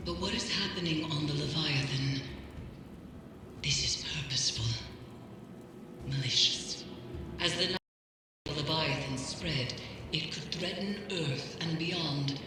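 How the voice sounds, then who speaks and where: Solemn cryptic mystic woman, formal and measured, huge echo, loud ambience behind her. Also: deep voice, background noise. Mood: grave warning. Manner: measured, grave, formal delivery. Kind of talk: dramatic scripted game dialogue. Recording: cavernous long reverb, loud background ambience.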